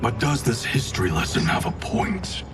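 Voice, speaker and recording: An actor playing a cold, proud ninja clan grandmaster in a video game, curt and commanding. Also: male voice, medium pitch, big pitch swings, normal speed, distant mic, over loud music, lightly acted. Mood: guarded, skeptical. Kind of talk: dramatic video game cutscene acting.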